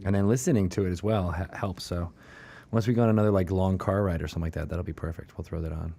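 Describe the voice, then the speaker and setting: A man's voice: low voice, steady tone, fast, gravelly, dark tone. A man hosting a podcast in a casual, informal way, rambling a little as he chats. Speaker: podcast host. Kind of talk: casual chat.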